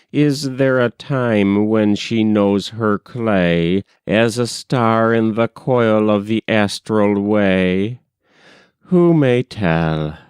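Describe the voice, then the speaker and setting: A man reading poetry aloud in a measured, solemn recitation. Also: on the low side, darkish tone, smooth, dry recording, clean studio sound. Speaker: poetry reader.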